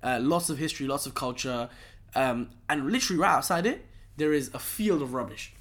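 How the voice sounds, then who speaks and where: A man's voice: fairly high pitch, a little fast. A young man from London, a guest telling stories in a casual chat, full of slang and "right?" tags. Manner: animated storyteller, lots of slang and "right?" tags.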